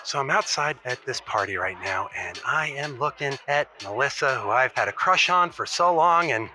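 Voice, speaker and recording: A man's voice: mid-range voice, animated, moderate pace, pushed and bright. A man calling an advice show, rambling and flustered with eagerness. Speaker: caller. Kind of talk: improvised comedy call-in.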